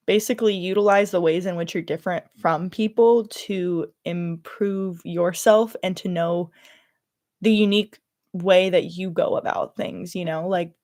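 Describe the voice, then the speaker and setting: A woman's voice: lowish voice, a little mellow, no room sound. Young Californian woman, casual livestream chat, dry sound. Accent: Californian, slight Valley Girl. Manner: rambling, self-interrupting, heavy use of "like". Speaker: livestream host and YouTuber.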